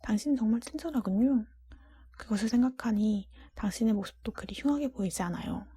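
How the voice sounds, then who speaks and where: A woman voicing a kind, honest, resolute young woman in a fairy tale, polite and tender in a breathy whisper. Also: fairly high pitch, fairly quick, mellow, no room sound. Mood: candid, kind. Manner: polite, candid, tender speech.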